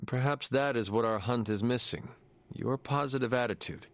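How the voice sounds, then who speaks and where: An actor playing a solemn, earnest resurrected demon hunter in a video game, speaking formally and with measured phrasing. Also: male voice, medium pitch, slight background noise, close to an everyday voice. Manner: formal, measured, avoids contractions. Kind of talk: video game cutscene acting.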